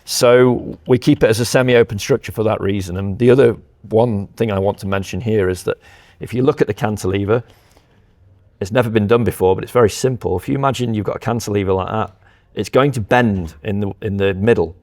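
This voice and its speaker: A man's voice: lowish voice, fairly quick, a little mellow. A man giving a step-by-step technical explanation as a company representative presenting audio products.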